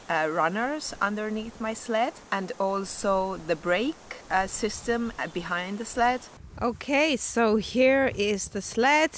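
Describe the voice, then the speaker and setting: A woman's voice: fairly low pitch, a little hiss or hum. A woman in her thirties with an Estonian accent, talking casually and candidly to a camera she holds at arm's length outdoors, with plenty of fillers and self-mocking asides. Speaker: vlogger. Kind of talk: casual vlog talk to camera. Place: outdoors in a forest clearing.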